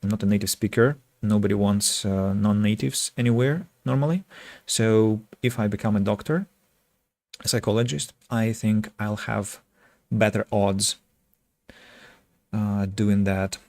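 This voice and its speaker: Russian guy streaming, lowish voice, chatting w viewers, kinda thoughtful w pauses, dry close sound. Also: fairly even intonation, a little mellow, no room sound. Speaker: game streamer. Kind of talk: casual livestream chat with viewers.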